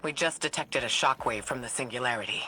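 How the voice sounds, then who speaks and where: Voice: mid-range voice, fast talker, pushed and bright, lightly acted, low-quality recording. An actor playing a serious, resolute commander in a game, terse and determined. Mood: concerned. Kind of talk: scripted game cutscene dialogue.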